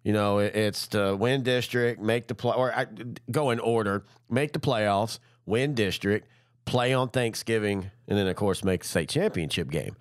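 A middle-aged man with a congested head cold, hosting a high school football show in a rambling, enthusiastic way. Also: normal speed, dry recording, studio quality. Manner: rambling, self-correcting, enthusiastic. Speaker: sports show host. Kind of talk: casual sports talk. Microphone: studio mic.

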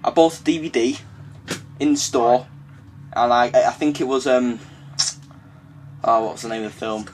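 A young adult British man making a casual prank call in a halting, hesitant manner. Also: mid-range voice, slight background noise. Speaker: prank caller.

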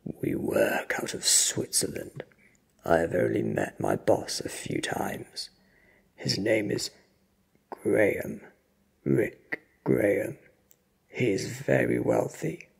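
A frightened, hurt henchman as a narrator acts him out, stammering in halting bursts. The voice is very husky and breathy, pushed higher and louder than the narration in a dramatic audio story. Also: lively intonation, full character voice. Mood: nervous, pained. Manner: stuttering, halting, strained. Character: frightened, injured henchman named Slim, stammering and gasping.

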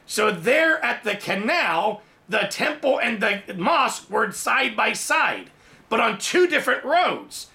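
A man in his forties, raised in Thailand, preaching a sermon in his study and telling a personal testimony with lively hand gestures, recorded on a camera at desk distance. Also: sits high, takes it slowly, vocal fry. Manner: animated storytelling with big hand gestures. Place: home study with bookshelves, indoors.

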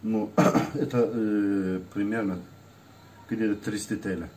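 A Turkish man in his forties talks in short, halting phrases. His voice is lowish and warm. He is recorded outdoors on a balcony at night by a phone camera a few feet away, with faint hiss behind him. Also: fairly even intonation. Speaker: vlogger's husband.

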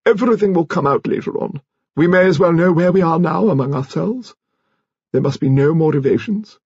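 A man's voice: a little high, lively intonation, brisk, darkish tone, breathy edge, no room sound, dull audio, voice pushed up. A man voicing a haughty, commanding old aristocratic lady who speaks with firm authority. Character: imperious stout elderly aristocratic lady.

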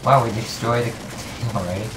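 A man chatting and joking while playing a co-op video game, goofy and quick to riff on silly ideas. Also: medium pitch, fairly even intonation, noisy background. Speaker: co-op game commentator. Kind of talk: casual gaming chat. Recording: echoey room, distant mic, game music. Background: video game music.

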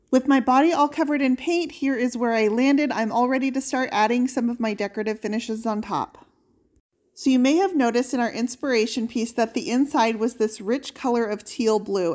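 A woman giving a steady, step-by-step instructional voice-over on painting furniture, upbeat about the products she uses. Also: a little high. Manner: steady, explanatory step-by-step walkthrough. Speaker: furniture painting channel host.

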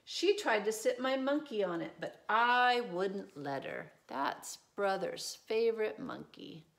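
A middle-aged woman reading a children's storybook aloud, adding gentle, reassuring asides in simple phrases for young children. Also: mid-range voice, animated, slow pace, vocal fry. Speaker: preschool teacher reading a picture book aloud. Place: small indoor room with desk and shelves.

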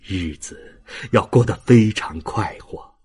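A man performing an audiobook as a frail, dying old father, tender and humble, with halting, sentimental delivery and a husky, breathy voice. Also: lowish voice, a little mellow, exaggerated voice. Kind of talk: dramatic audiobook reading of a classic novel.

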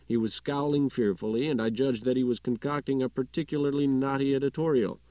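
A man's voice: mid-range voice, steady tone, brisk, warm tone, no echo, dull audio. A man reading a literary short story as an audiobook narrator, in scripted narration.

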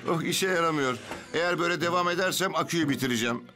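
A man's voice: on the high side, very fast, noisy background, natural voice. An actor playing a concerned but resolute male bus driver in a children's cartoon. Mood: worried, resolute. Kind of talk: dubbed children's cartoon acting.

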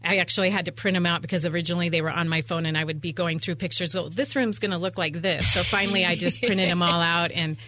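Bright-voiced middle-aged woman, chatty interview guest. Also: lowish voice, fairly even intonation, quick pace, few pauses, slight background noise. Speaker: interview guest, event venue owner. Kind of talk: chatty radio interview answers. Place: radio studio. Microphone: studio microphone on boom arm.

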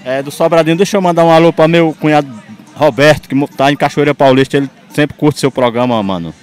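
A man's voice: on the high side, fast, clean tone. A man, a market visitor chatting casually and friendly. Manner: friendly, sends greetings. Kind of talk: casual chat.

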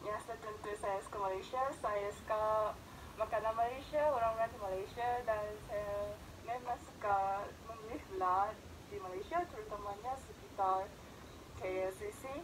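A woman's voice: medium pitch, fairly even intonation, moderate pace. A young woman from Japan, answering interview questions outdoors in careful, hesitant second-language speech full of "ah" fillers. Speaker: interviewed student. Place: outdoors in a park. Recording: outdoor clip with clear background noise.

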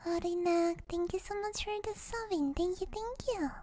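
A young woman hosting an ASMR stream, chatting playfully in a soft, breathy, girlish voice that often slips into a whisper, close on a binaural microphone. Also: extremely high pitch, moderate pace. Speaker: ASMR livestream host. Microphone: binaural ear microphone.

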